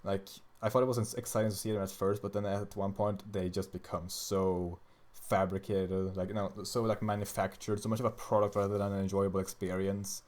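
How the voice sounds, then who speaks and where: A young man from Sweden with a slightly low voice chats loosely on a stream, answering questions in a blunt, sweary, worn-out way. His first language is Swedish, and he talks like someone hanging out with his audience. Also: level tone, fairly quick, few pauses, darkish tone. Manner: blunt, profane and tired. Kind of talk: casual livestream chat.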